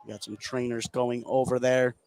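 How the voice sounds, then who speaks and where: A man's voice: mid-range voice, level tone, normal speed, darkish tone. An American man doing live radio play-by-play of a basketball game, following the action in running detail. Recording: clear gym noise behind commentary. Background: basketball game ambience.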